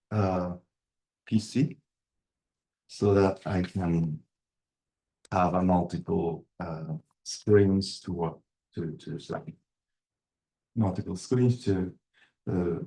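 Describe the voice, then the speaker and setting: Middle-aged male lecturer, non-native English, low mellow voice, slow and halting delivery. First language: not English. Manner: halting, many fillers and restarts. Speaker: university lecturer. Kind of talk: university lecture.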